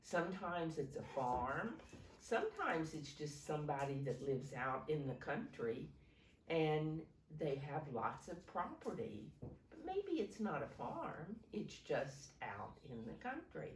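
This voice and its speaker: A woman with a low voice reads and explains to small children like a preschool teacher. Her pitch moves expressively as she takes her time and asks simple questions. The sound is roomy and a little distant from the mic. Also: big pitch swings, slow, a little hiss or hum. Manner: simple, instructive, often questioning the listeners. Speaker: preschool teacher reading aloud.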